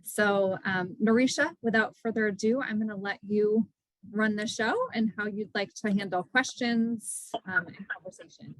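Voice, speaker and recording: Lady host doing an informal intro on a call, average pitch, no echo at all. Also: fairly even intonation, normal speed, a bit bright. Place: online video call.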